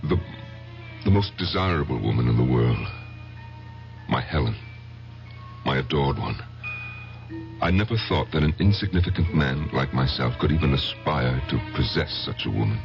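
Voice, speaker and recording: Very deep and creaky, this is a meek, tormented man in an old radio play. He speaks in halting, humble phrases. The recording is muffled, with music clearly behind him. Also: animated, heavily acted. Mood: halting, anguished. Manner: halting, hesitant, self-effacing. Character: meek, anguished, insignificant man breaking long silence. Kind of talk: old-time radio drama acting.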